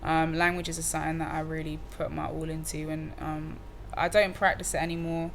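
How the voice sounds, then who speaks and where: Young woman, lowish voice, chatty. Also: brisk, creaky, slight background noise. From Cardiff, Wales. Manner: relaxed, slangy, many fillers and restarts. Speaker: musician guest. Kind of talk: casual interview chat.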